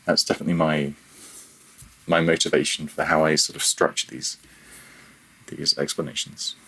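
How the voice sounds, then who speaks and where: A man with a very low-pitched voice that is a little husky. He talks as a podcast guest, reflective and informal, telling stories and acting out small quoted asides as he explains. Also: level tone, normal speed, fairly bright tone, poor audio. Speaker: podcast guest, audio developer. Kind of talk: thoughtful conversational interview.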